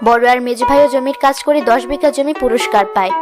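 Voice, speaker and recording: A woman's voice: sits high, fast, over loud music. A woman narrating a scripted fairy tale for a cartoon.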